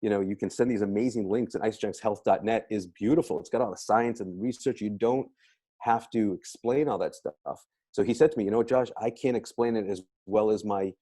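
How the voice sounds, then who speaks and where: Casting: American male guest speaker, low register, loose conversational delivery with doubled-back phrases, dry room. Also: fairly quick, dark tone, dry recording. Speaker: guest speaker, spine clinic doctor. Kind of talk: casual testimonial talk to an online group.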